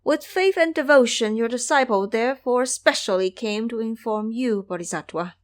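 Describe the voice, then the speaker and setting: A woman playing a brash, excitable male monkey disciple who speaks in formal, emphatic storybook language, cheeky but pleading. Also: a little high, moderate pace, pitched above the performer's own voice, natural voice.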